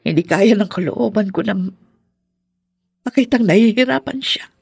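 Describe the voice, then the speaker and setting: A woman playing an anguished, possessive middle-aged mother in a radio drama, confessing in a halting, heavy way. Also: medium pitch, lively intonation, gravelly, a little mellow, breathy edge, no room sound, studio quality, full character voice. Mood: remorseful, anguished. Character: possessive, remorseful mother of grown children, anguished.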